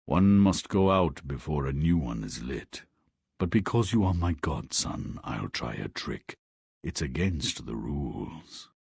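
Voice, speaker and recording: A man's voice: lively intonation, a little mellow, no room sound, clean studio sound, heavily acted. A man playing Death as a stern, commanding supernatural godfather in a fairy-tale video game. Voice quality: very deep, gravelly, husky. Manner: slow, commanding, ominous.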